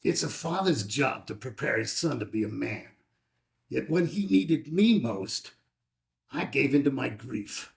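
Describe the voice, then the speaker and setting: A man's voice: medium pitch, expressive, a little slow, creaky. A man playing an ageing, grieving veteran father with a wry, reflective manner in a dramatic script read. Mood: solemn, reflective.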